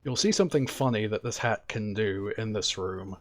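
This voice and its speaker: A man streaming a video game, talking casually and quietly about what he's doing in a relaxed, chatty way. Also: fairly low pitch, normal speed. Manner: soft-spoken, relaxed and chatty. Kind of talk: casual gameplay commentary.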